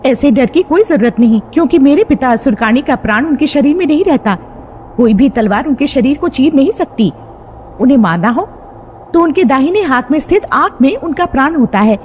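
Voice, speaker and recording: A woman playing a young demoness bride-to-be in a cartoon folk tale. Her voice is high, and she explains things with reassuring confidence. There is faint noise behind her. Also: darkish tone. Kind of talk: animated folk-tale dialogue.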